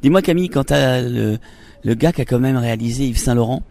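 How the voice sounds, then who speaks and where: A man's voice: mid-range voice, brisk, vocal fry, a little mellow. A man hosting a radio show, presenting and interviewing guests.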